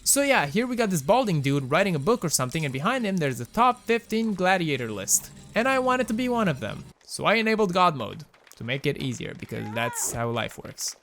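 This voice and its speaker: A man's voice: high-pitched, big pitch swings, fairly quick, fairly bright tone. A young man with a Romanian accent giving casual, wry, self-mocking commentary as host of a gaming channel. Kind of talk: casual game mod commentary voice-over.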